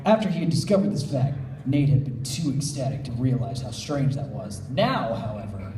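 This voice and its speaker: A man's voice: mid-range voice, sing-song, normal speed, dark tone, slight background noise. A man reading fan fiction live as a panel host, with playful asides and comic banter. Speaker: panel host and story reader. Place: convention panel room. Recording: echoey room, distant mic.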